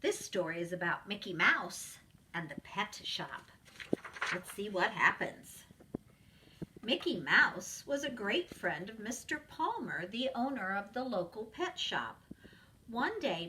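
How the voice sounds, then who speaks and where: A woman narrates a children's storybook with expressive, teacherly delivery. Her voice is bright and very lively in pitch, heard slightly away from the mic. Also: distant mic, slight background noise, low-quality recording. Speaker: preschool teacher reading aloud.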